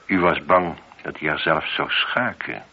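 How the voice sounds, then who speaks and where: Casting: methodical police inspector for radio drama, low voice, probing and courteous; muffled, noisy old recording. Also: male voice, vocal fry, sharp tone, full character voice. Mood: measured, accusing. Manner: measured, probing, politely insistent. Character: shrewd, methodical male chief police inspector confronting a suspect. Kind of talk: radio play dialogue.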